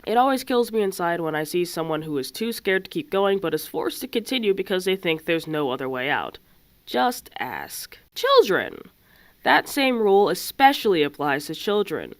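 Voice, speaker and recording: A young woman, slightly raspy from a cold, delivers blunt, dry-humored advice in a lowish voice that leaps around in pitch. The sound is close and dead dry, with no room echo. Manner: blunt, dry sarcastic asides. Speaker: haunted house actor. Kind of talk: scripted advice monologue.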